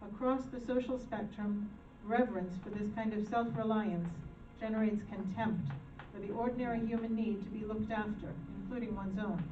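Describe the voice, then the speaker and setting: A woman's voice: mid-range voice, fairly even intonation, normal speed, hardly any pauses. A woman reading a newspaper opinion piece aloud as part of a charity show. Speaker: reader in a charity poverty show. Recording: echoey, distant, phone-like, clear music behind.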